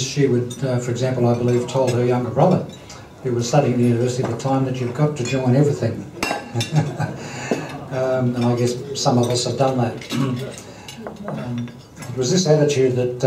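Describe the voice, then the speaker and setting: A man hosting a lunch event, giving a halting formal introduction full of ums and throat clearing. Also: medium pitch, mellow, slight background noise. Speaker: event host introducing a speaker. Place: dining hall at a lunch event. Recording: roomy hall, distant mic, clattering dishes.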